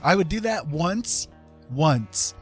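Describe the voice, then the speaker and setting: A man's voice: on the high side, expressive, slow pace, faint music behind. A man hosting a casual livestream, talking in a chatty, rambling and enthusiastic way. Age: adult, dad. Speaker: livestream host.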